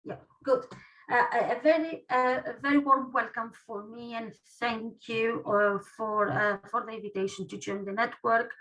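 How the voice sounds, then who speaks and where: A woman from Greece with a Greek accent, an academic presenting online in a halting way, often tagging phrases with "okay". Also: on the high side, steady tone, fairly bright tone, poor audio. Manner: halting delivery, often punctuated with "okay" as a tag. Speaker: academic researcher, guest speaker.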